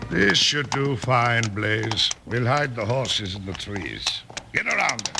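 An actor playing a wily, boastful crooked schemer who bosses hired gunmen in an old-time radio western. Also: male voice, mid-range voice, sing-song, takes it slowly, gravelly, exaggerated voice. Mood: scornful, bossy. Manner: boastful, condescending. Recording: old radio recording with faint noise.

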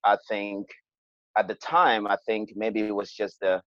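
A man's voice: lowish voice, flat delivery, unhurried, a bit bright, dry recording. A man from Cameroon, a podcast guest, telling his experiences in a hesitant, conversational way with many fillers and restarts. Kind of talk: conversational interview storytelling. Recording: dull, lo-fi sound.

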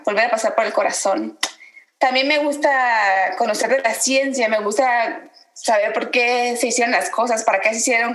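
A woman from Guatemala, introducing herself on a podcast in a reflective way, pausing often. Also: on the high side, a bit bright, roomy sound, poor audio. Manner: reflective, many pauses and fillers. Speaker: podcast guest. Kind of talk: casual self-introduction.

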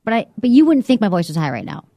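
A woman co-hosting a casual podcast, chatty and giggly, her voice slightly husky from a cigarette. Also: mid-range voice, sing-song, fast, mellow, dry recording, clean studio sound. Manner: chatty, giggly, trails off mid-sentence. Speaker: podcast co-host. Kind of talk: casual chat.

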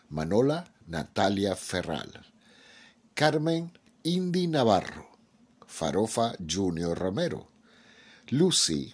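A husky-voiced man reading out scripted credits as a radio drama announcer. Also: fairly low pitch, a little mellow.

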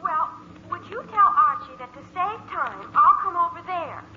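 A woman's voice: extremely high pitch, lively intonation, moderate pace. A woman playing a perky, polite teenage girl through a telephone filter in a 1940s radio comedy. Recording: thin phone-like sound, hissy vintage radio.

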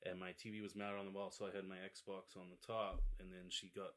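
A young man in his twenties with a low, bright, forward voice. He talks in an easygoing, rambling way, telling loose stories. His speech is peppered with casual swearing. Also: fairly quick. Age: 20s. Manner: relaxed storytelling, swears casually. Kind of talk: casual chat.

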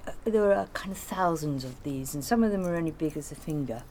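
A woman's voice: deep voice, animated, a little fast, a little mellow, slight background noise. A woman in informal conversation, explaining and recollecting in a rambling way. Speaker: artist being interviewed.

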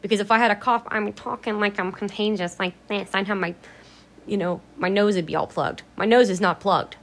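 A talkative woman rambles through a relaxed vlog with a slightly raspy, allergy-worn throat. She is blunt and swears freely, her pitch sitting in an ordinary middle range. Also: brisk. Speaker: vlogger. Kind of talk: casual rambling vlog chat.

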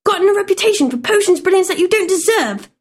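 A woman voicing a sharp, disapproving know-it-all schoolgirl, reproachful in tone. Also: very high voice, expressive, fast.

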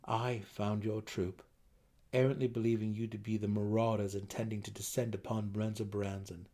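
Man playing an earnest, noble dark elf. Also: on the low side, flat delivery, close to an everyday voice. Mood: solemn. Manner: earnest, measured formal fantasy speech.

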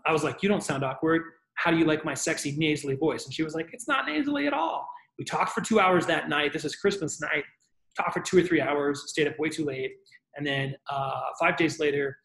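A man's voice: on the high side, fairly bright tone, reverberant, poor audio. A man coaching on dating, walking through his text messages in a casual teaching tone. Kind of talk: talking-head advice video.

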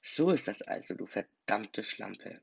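Young vampire man played by a man, enraged, shouting, raspy, dry sound. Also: lowish voice, animated, a little slow, no echo, heavily acted. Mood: furious. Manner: shouting accusations. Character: furious young man newly turned vampire. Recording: dull dry recording, faint music.

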